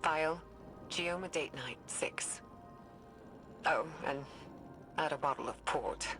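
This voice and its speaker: An actress playing an earnest, weary scientist recording a game audio log in a thoughtful, measured way with hushed phrase endings. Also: female voice, low-pitched, rough recording. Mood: wistful. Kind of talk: confessional audio log, dramatic game acting. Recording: music behind the voice.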